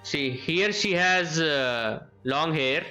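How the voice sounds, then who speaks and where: A man's voice: a little high, expressive, takes it slowly, sharp tone. A man giving casual live game commentary, thinking aloud and often repeating his phrases. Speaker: game streamer. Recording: game music behind the voice. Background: video game music.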